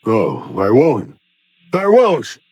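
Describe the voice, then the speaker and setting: A volatile, gruff old rancher. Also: male voice, medium pitch, huge pitch swings, extremely slow, darkish tone, no echo, heavily acted. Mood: furious. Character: stern, hot-tempered older rancher father. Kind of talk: 1950s radio western acting.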